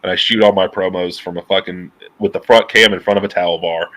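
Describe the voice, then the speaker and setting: A man's voice: sits low, quick pace, rough recording. A man in his thirties with a nasal voice, a guest talking casually and profanely on a video call over earbuds, waving his hands as he talks. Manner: profane, animated, gesturing a lot. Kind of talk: casual interview chat. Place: dim room at home, indoors.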